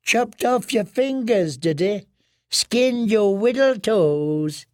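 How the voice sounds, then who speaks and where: A man voicing a menacing washerwoman who taunts in a slow, sweetly cruel way. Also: high voice, animated, a little mellow, no echo, clean studio sound, pitched above the performer's own voice. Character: mocking, menacing older washerwoman.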